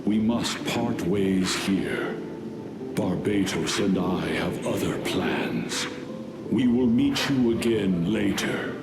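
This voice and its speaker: A man voicing a huge ancient dragon who speaks in slow, grave pronouncements. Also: fairly low pitch, vocal fry, very noisy. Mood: solemn. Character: huge ancient male dragon, solemn and calm. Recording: echoey, with loud game music.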